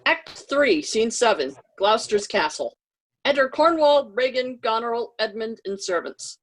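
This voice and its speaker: Female announcer, high voice, animated pitch, reading scripted stage directions. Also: unhurried, low-quality recording.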